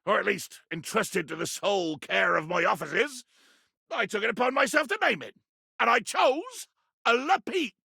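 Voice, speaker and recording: A man playing a pompous, belligerent sea captain in a video game, with a raspy voice and a theatrical, grandiloquent delivery. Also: high voice, pushed and bright, no room sound, clean studio sound, exaggerated voice. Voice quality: gravelly, raspy.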